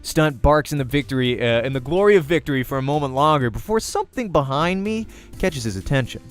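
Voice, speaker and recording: A man's voice: medium pitch, animated, brisk, faint music behind. A man reading a visual novel aloud as a let's-player, narrating the game story expressively.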